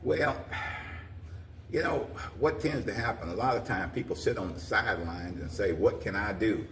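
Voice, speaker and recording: An older American man with a low voice speaks in a roomy space, some distance from the mic. He gives folksy, exhorting interview answers, often circling back over his words. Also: lively intonation, moderate pace, fairly bright tone, slight background noise. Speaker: guest, community organization president. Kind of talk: interview talk, plain-spoken encouragement.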